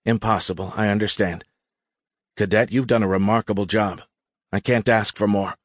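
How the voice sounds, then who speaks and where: A man voicing a calm, wry female colonel in an audiobook, with a dry, measured, authoritative delivery. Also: fairly low pitch, no echo, close to an everyday voice. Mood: measured, probing.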